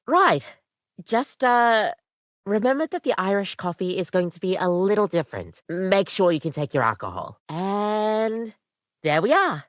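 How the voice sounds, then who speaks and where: A woman voicing a friendly, earnest young coffee maker come to life as a barista in a video game, with a chatty, casual, expressive delivery. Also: mid-range voice, a little slow, no room sound, studio quality. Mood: chatty, helpful.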